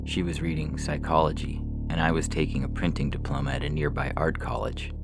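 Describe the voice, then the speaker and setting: Very deep, gravelly man reading fiction. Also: monotone, a little fast, background noise. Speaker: story reader. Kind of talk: scripted literary narration of science fiction. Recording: music bed under the voice. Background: music.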